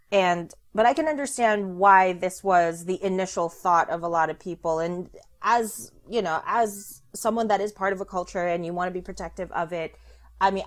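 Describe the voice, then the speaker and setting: Rambling young woman. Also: fairly low pitch. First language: Filipino. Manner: rambling, chatty, with joking asides. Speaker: vlogger. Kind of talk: casual commentary vlog.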